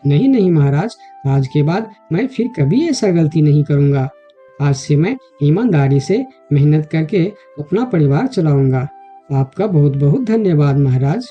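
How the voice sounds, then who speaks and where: A woman as a low, husky, repentant animal thief. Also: expressive, a little slow, highs cut off, soft background music, heavily acted. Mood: repentant, grateful. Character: humble repentant male animal, a reformed thief. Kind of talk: children's cartoon story acting.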